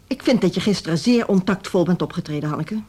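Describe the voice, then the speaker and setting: A woman playing a proud, stern middle-aged music school director in a radio drama, speaking in formal, measured sentences. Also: on the low side, lively intonation, warm tone, breathy edge. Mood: stern, aggrieved. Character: proud, stiff older female music school director, easily slighted.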